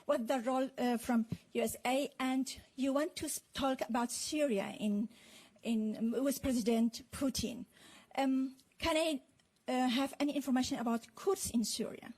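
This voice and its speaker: A woman's voice: high voice, darkish tone. Polite Kurdish-Iraqi woman reporter. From Kurdistan, Iraq. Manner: polite, halting, with frequent fillers. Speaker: TV journalist. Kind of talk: press conference question.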